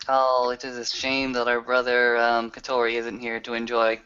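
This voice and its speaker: A man's voice: medium pitch, monotone, normal speed, pushed and bright, clean tone. A man improvising in character in a tabletop roleplaying game, with formal, grandiose phrasing. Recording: lo-fi with faint noise.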